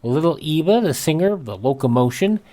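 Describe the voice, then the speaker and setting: Animated American male radio host. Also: on the high side, brisk. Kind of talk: scripted radio announcing and ad reading.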